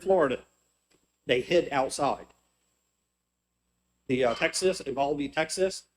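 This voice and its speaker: A man's voice: medium pitch, rough recording. A man, a former state representative, giving emphatic, insistent testimony to a legislative committee. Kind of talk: public testimony at a legislative hearing.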